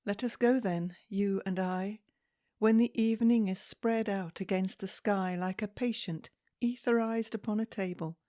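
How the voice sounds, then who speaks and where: A woman reading poetry aloud in a soft, calming, measured way meant for relaxation, with deliberate phrasing and pauses. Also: mid-range voice, no room sound, studio quality. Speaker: poetry reader.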